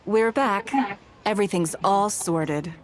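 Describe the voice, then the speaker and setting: A woman playing a composed, shrewd, wealthy businesswoman in a video game, speaking in a measured, formal way. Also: mid-range voice, background noise, close to an everyday voice. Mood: calm.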